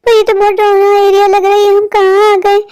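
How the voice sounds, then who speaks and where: A woman's voice: very high voice, completely flat delivery, a little fast, a little mellow. A woman voicing a timid young runaway bride in a cartoon story, fretful and pleading, with a childlike voice. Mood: anxious.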